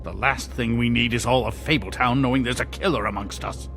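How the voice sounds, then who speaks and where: An actor playing a pompous, petulant acting mayor in a video game, haughty and fussy, with theatrical enunciation. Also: male voice, mid-range voice, brisk, fairly bright tone, soft background music, close to an everyday voice. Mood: irritable, bossy.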